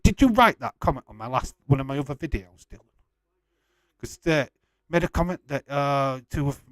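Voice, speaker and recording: A man's voice: mid-range voice, no echo. A man in his forties with a Yorkshire accent, hosting a casual livestream and reading out comments in a blunt, chummy, informal way. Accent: Yorkshire English. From West Yorkshire, England. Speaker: livestream host. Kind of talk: casual watch-along chat, reading out viewer comments.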